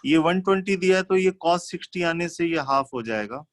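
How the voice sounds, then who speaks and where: A man's voice: a little high, animated, fairly quick, no echo, clean studio sound. A man teaching maths live, working through problems step by step in an instructional way and mixing in English technical terms. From India.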